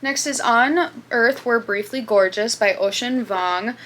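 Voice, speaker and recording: A woman's voice: a little high, slow, a bit bright, a little hiss or hum. A woman, hosting her reading channel in casual, chatty talk with lots of fillers. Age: 20s. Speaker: booktube host. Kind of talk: casual chat.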